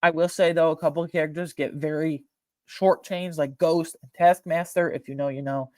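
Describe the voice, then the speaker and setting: A man hosting a film ranking video, talking informally and emphatically with slang and a halting, opinionated delivery. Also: fairly high pitch, steady tone, darkish tone, dry recording, clean studio sound. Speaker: video host ranking films.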